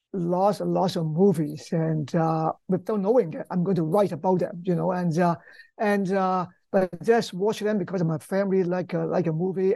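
An older man with a Hong Kong accent, a professor speaking conversationally in an interview, halting and repeating words. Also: high voice, creaky, a little mellow, no echo. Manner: halting, repeats words, non-native English phrasing. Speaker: history professor, author being interviewed.

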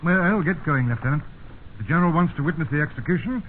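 An actor playing a commanding, self-assured army officer in a radio drama, with a clipped manner. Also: male voice, a little high, lively intonation, fast talker, close to an everyday voice. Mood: smug. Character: smug, commanding Oxford-educated British army officer. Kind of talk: 1950s radio acting. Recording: narrow, noisy 1950s radio broadcast.

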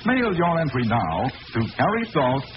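A man announcing in vintage radio sponsor style, emphatic, promotional and clearly enunciated, on an old recording. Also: mid-range voice, sing-song, measured pace. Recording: noisy vintage radio recording, muffled, hissy.

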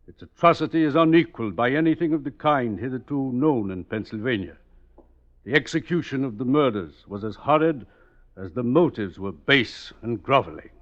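An actor playing a stern, righteous eighteenth-century judge, grave and oratorical, in an old radio drama. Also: male voice, mid-range voice, animated, normal speed, lightly acted. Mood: solemn, indignant. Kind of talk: 1950s radio drama acting. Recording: dull old radio recording.

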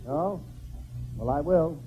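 Brash high-voiced radio comic. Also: male voice, slow. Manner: brash, excitable wisecracking. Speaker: comedian. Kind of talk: 1940s radio comedy. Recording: muffled old radio broadcast with hiss.

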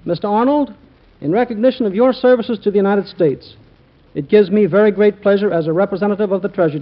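A man reading a prepared, formal official speech as a government representative presenting an award on a muffled vintage radio broadcast. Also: high voice.

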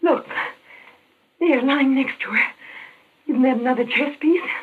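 A woman's voice: sits high, unhurried, husky. An actress playing an anxious, naive young woman drawn into murder, earnest and melodramatic, in a 1940s radio thriller. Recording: tinny, noisy old radio recording.

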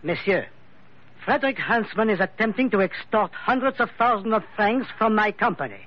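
High-voiced suave Frenchman. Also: expressive, moderate pace. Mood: explaining. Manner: formal, courteous, hesitant foreign phrasing. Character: suave, suspicious Frenchman, insurance investigator with a pistol. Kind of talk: old-time radio drama acting. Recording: dull vintage radio recording.

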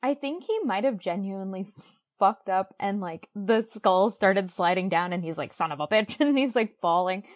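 A woman's voice: medium pitch, animated, normal speed. A woman co-hosting a podcast in casual conversation, irreverent and sweary, jokingly acting out imagined quotes. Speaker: podcast co-host. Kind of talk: casual chat, book discussion podcast.

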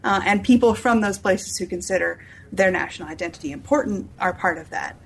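A woman with a slightly rough, husky voice at a middle pitch gives an unscripted interview as an author. She explains things keenly and in detail, often listing items one by one. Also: animated, fairly quick. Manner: enthusiastic, detailed, enumerating explainer. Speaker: author being interviewed about their novel.

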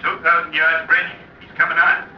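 An actor playing a dutiful naval radar operator giving clipped, urgent reports in an old radio drama. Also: male voice, fairly high pitch, completely flat delivery, moderate pace, a little hiss or hum, close to an everyday voice. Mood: tense, clipped. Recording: muffled, echoing old radio recording.

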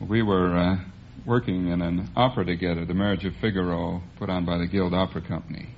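A man's voice: sits low, fairly even intonation, fast talker. A man of about thirty with an American accent, telling stories conversationally as a quiz contestant. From Los Angeles. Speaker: quiz contestant, amateur singer. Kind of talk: conversational storytelling. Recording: muffled, noisy 1950s radio recording.

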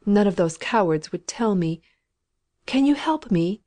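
A woman reading a storybook, voicing a frantic, terrified dying woman of about sixty who pleads in rough country speech. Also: medium pitch, lively intonation, measured pace, mellow, lightly acted. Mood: terrified pleading.

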